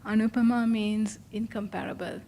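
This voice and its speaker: A newly ordained Buddhist nun introducing herself softly and modestly at a retreat. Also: female voice, mid-range voice, level tone, moderate pace, background noise. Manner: soft, modest, with fillers.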